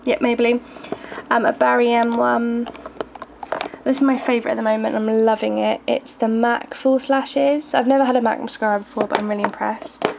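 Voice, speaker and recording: A young woman talks through her makeup collection in a slightly raised voice, groggy and gravelly, stumbling over words with lots of ums. She films from close by in her bedroom, and the home camera audio carries a steady hiss. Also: fairly high pitch, moderate pace. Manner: chatty, hesitant, frequent ums and little laughs. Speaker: beauty vlogger. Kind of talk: casual makeup collection tour. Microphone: handheld camera filming from close. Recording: noisy home video recording.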